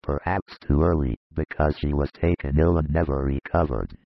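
A man's voice: steady tone, moderate pace, dry recording, highs cut off, full character voice. A man voicing a grieving, remorseful male disciple who speaks in a heavy, brooding confession, read as an audiobook. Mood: mournful. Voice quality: very deep gravelly husky voice. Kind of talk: audiobook reading of a religious narrative.

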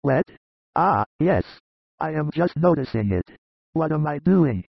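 A man voicing a hearty, earnest middle-aged fisherman in an audiobook, with a creaky voice and a plainspoken way of talking. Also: fairly high pitch, expressive, measured pace, dry recording, voice pushed up, exaggerated voice. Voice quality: gravelly, creaky. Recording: dull lo-fi dry recording.

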